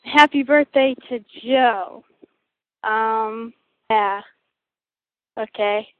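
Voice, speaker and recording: A woman's voice: on the high side, drawn-out words, no room sound. A woman leaving a hesitant voicemail full of fillers. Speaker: voicemail caller. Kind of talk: phone voicemail. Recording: tinny phone line.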